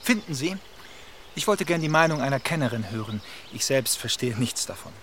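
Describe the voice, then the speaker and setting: A man playing a witty young gentleman. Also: mid-range voice, normal speed, slight background noise, close to an everyday voice. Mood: sly. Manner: lively, witty, gossipy. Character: charming, talkative young gentleman. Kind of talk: radio play acting.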